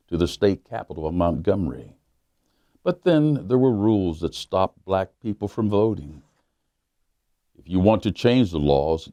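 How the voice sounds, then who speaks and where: A man, a pastor, reading a children's book aloud in a measured, emphatic way with dramatic pauses. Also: low-pitched, dark tone, no room sound. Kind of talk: storybook read-aloud for children.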